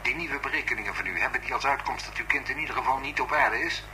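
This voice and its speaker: A man acting a sober, methodical police inspector with a formal, measured manner in a Dutch radio drama. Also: medium pitch, close to an everyday voice. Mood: sober, businesslike. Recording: noisy radio play recording.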